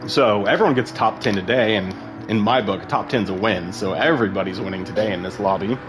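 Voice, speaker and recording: A man's voice: lowish voice, a little fast. Guy casually calling an online sim race, lots of likes and ums, music going under him. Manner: casual, chatty, rambling with frequent fillers. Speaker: sim racing commentator. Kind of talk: live esports race commentary.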